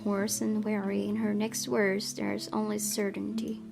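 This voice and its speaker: A woman's voice: medium pitch, completely flat delivery, moderate pace, creaky, darkish tone. A woman streamer reading a horror visual novel aloud, with joking asides between passages. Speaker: game streamer. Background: game music.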